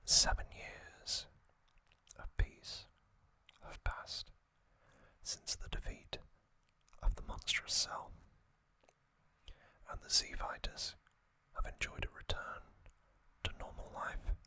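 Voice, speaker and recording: An ASMR host whispering a gentle, measured recap of a story, softly and without voice. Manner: soft unvoiced whisper throughout.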